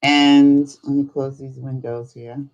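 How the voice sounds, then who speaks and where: Female livestream host, slow pace, casual and rambling delivery, informal chat with viewers. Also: extremely low pitch, little pitch movement, a little mellow, muffled recording. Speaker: host of a live spirituality stream.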